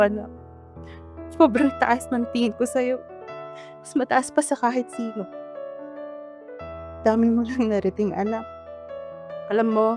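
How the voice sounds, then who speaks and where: A woman's voice: a little high, lightly acted. A Filipino woman playing a loving, remorseful mother in an emotional radio drama, speaking in a pleading, heartfelt way. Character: remorseful, loving widowed mother apologizing to her eldest son. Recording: piano music under the voice.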